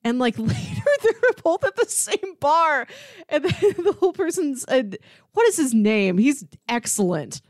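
A woman chatting casually as she retells film scenes, acting out quoted lines as an animated storyteller. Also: high voice, a little slow, dry recording, studio quality. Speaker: film podcast host.

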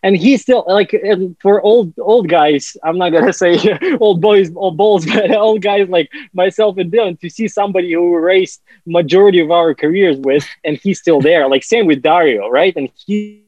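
A man in his forties, a former ski racer, talking as a podcast guest in a rambling, halting way and laughing often. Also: high-pitched, darkish tone, no echo, dull audio. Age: 40s. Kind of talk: casual podcast chat.

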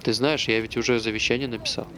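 Guy acting bitter grumbly man in a play, natural chatty delivery, mid pitch, slight background noise. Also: steady tone, fast, pushed and bright, lightly acted. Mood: resigned. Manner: grumbling, conversational. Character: bitter, aggrieved man who hates dogs. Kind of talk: naturalistic stage play dialogue.

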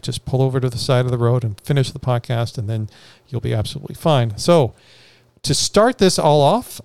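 A man's voice: medium pitch, creaky, darkish tone, no room sound. A man hosting a casual podcast panel, joking and self-deprecating as he introduces his guests. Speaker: podcast host.

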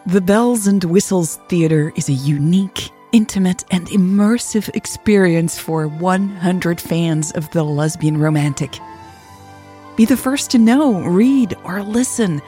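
Low, mellow woman reading a podcast promo. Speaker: podcast creator promoting memberships. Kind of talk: scripted promo read.

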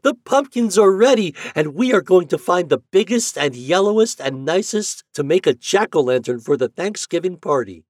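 A man voicing excited young children in a storybook, exclaiming with gleeful delight. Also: high voice, normal speed, few pauses, dry recording, studio quality, pitched above the performer's own voice.

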